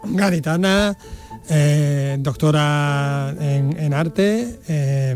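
Brisk higher-voiced male culture reporter. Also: darkish tone. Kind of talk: radio news report.

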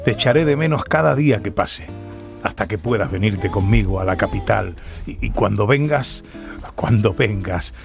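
Radio play lead for a male actor: idealistic young Spanish-bookseller, lowish voice, eager, halting delivery, faint music bed. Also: expressive, creaky. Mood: hopeful, dreamy. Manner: eager, halting with repeated phrases. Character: earnest, idealistic young small-town bookseller, man in his twenties. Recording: music under the voice.

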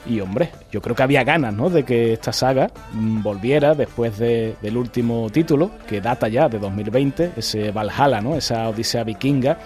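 Man, mid pitch, radio host, measured delivery, faint background music. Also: darkish tone. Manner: measured, with fillers like "eh" and "bueno". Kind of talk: radio announcement and news reading.

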